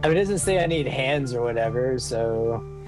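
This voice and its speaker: A man talking casually as a player in a tabletop game, explaining his moves in a hesitant, halting way. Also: medium pitch, animated. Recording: background instrumental music under voice. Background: instrumental music.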